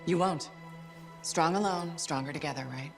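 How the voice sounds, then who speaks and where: A resolute game heroine, has a fairly low female voice. She speaks directly, as a loyal and determined pilot, over prominent music. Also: normal speed. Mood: confident, warm. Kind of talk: cinematic video game acting.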